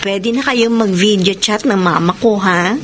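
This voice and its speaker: An actress playing a trusting young girlfriend with deep feelings in a Filipino radio drama, speaking Tagalog-accented lines emotively. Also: unhurried, background noise, close to an everyday voice. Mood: affectionate, gently probing. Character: young woman, trusting girlfriend, hurt and accusing when betrayed.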